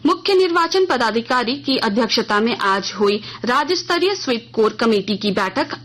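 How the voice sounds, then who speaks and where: Higher-pitched Indian woman reading news. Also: fairly bright tone. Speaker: news reader. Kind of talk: formal scripted radio news bulletin.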